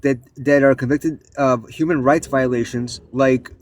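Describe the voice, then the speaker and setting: A man in an online debate, arguing forcefully and exasperatedly, often restarting his sentences. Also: mid-range voice, steady tone, measured pace, dry recording. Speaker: debate participant. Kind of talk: casual live-stream debate.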